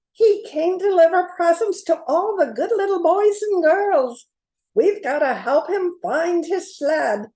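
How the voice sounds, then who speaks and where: A woman's voice: high voice, dark tone, full character voice, poor audio. A woman playing a grown-up, no-nonsense female private eye in a comic radio play, wry and matter-of-fact. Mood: concerned.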